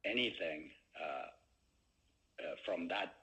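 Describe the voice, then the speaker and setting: A man speaking as a company executive answering questions on an earnings call, with halting phrasing and many pauses. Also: sits low, lively intonation, sharp tone, low-quality recording.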